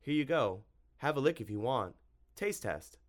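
A man voicing a plucky, quick-thinking young male hero in a storybook, emphatic and cheeky. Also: mid-range voice, animated, voice pushed up, close to an everyday voice. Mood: bluffing.

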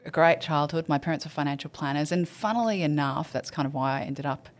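A middle-aged Australian woman with a notably low voice talks through her story as a guest. She is earnest and keeps restarting her sentences. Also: quick pace. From Australia, central Queensland. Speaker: guest, finance professional. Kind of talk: podcast interview answers.

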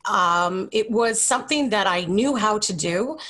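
A woman's voice: mid-range voice, animated, unhurried, a bit bright. A woman in her forties with a Canadian accent, answering interview questions in a casual, conversational way. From Calgary, Canada. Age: 40s. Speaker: interview guest.

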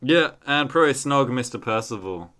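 A man's voice: mid-range voice, expressive, moderate pace, sharp tone. An Australian man chatting casually and comedically as a podcast host.

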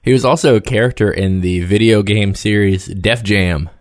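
A man's voice: on the low side, normal speed, dry recording, clean studio sound. A man co-hosting a casual podcast chat, laid-back with short replies. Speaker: podcast co-host.

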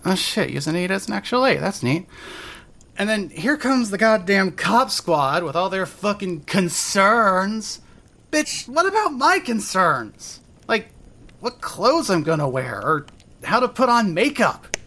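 Woman acting a bitter, rambling young woman, swinging pitch. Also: big pitch swings, measured pace, fairly bright tone, heavily acted. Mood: rambling, tipsy, then bitterly indignant. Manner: rambling, sweary, self-deprecating. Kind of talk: fandub audio drama, first-person monologue.

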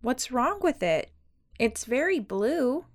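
A young woman playing an earnest, principled, polite eldest sister in an audio drama, hesitant and gently defensive. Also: high voice, sing-song, slow pace, full character voice. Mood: uneasy, conciliatory. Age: young adult. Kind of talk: audio drama acting, conversational.

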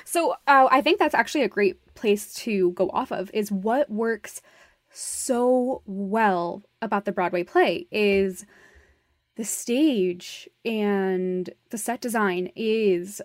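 A woman's voice: mid-range voice, no echo. Enthusiastic woman in a dry, close recording. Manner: emphatic, gushing, casually sweary. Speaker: podcast co-host. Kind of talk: animated casual discussion and critique.

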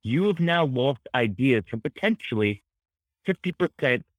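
Energetic guy expert on a podcast, medium pitch, explains stuff emphatically n articulately, dry recording. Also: moderate pace, dry recording. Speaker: podcast guest, author and psychology educator. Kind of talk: conversational explanation in an interview.

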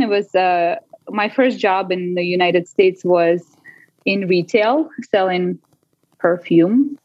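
Guest in her forties, lively and laughing, heard over a dry, lo-fi line. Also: medium pitch, no room sound. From Russia. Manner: animated, laughs often. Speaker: podcast guest. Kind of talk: casual interview storytelling. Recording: dry, dull lo-fi call audio.

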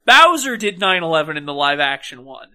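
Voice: medium pitch, big pitch swings, brisk, sharp tone. A podcast co-host talking casually about a film in a wry, opinionated, sweary way.

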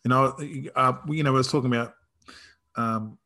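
A man with a New Zealand accent in casual conversation, reflective and halting, often rephrasing as he goes. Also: mid-range voice, fairly even intonation, bright, forceful tone. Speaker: karate practitioner in discussion.

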